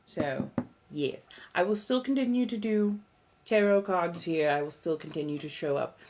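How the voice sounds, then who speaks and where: A woman acts out an ancient vampire empress and tarot witch in a lowish female voice. She speaks in an affected posh British accent, coy and theatrical, lingering over her endearments as she chats with viewers on a livestream. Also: expressive, normal speed, darkish tone. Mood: conversational. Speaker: tarot reader hosting a live stream. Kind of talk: in-character livestream chat.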